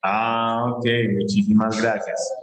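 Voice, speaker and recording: A Colombian man giving a lecture as a teacher, explaining slowly and stretching key words. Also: lowish voice, little pitch movement, echoey room. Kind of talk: online class lecture.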